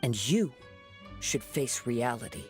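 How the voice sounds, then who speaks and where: A male actor playing a gentle, resolute young wandering samurai in a video game, composed and formal. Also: mid-range voice, expressive, slow. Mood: firm. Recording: game music behind the voice.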